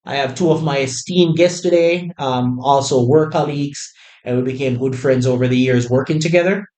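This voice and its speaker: A man hosting a relaxed podcast, welcoming guests he calls his brothers. His voice sits at an ordinary middle pitch. He speaks in a friendly, conversational way, with plenty of "you know" and "um" along the way. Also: moderate pace. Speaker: podcast host.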